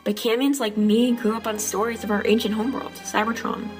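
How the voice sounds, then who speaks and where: A woman voicing an earnest, determined robot heroine in an amateur comic dub, narrating in a reflective, measured way. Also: a little high, fairly even intonation, close to an everyday voice. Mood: solemn narration. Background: clear music bed.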